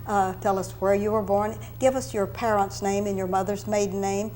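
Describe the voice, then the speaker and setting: A woman's voice: mid-range voice, little pitch movement, normal speed, a little mellow, slight background noise. A woman speaking formally and courteously as the host of a local interview show.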